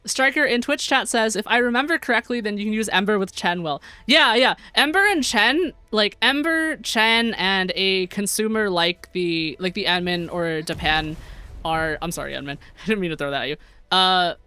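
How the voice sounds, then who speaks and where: A woman's voice: medium pitch, normal speed, sharp tone, smooth. A young American woman chatting casually while streaming a game, reading chat aloud, giggling often and rambling through her sentences. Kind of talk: casual gameplay livestream chat.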